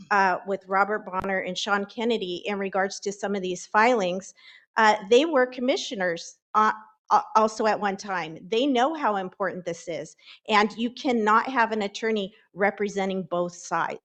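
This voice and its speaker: A woman speaks during public comment at a meeting, pressing her points with emphasis and open exasperation. Her voice sits at an ordinary middle pitch. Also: a bit bright.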